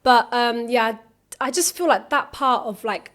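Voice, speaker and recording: A woman's voice: fairly high pitch, a little slow, a bit bright. A young woman with a South London accent, chatting casually as a podcast guest and using lots of fillers. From South London, England. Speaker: podcast guest, singer-songwriter.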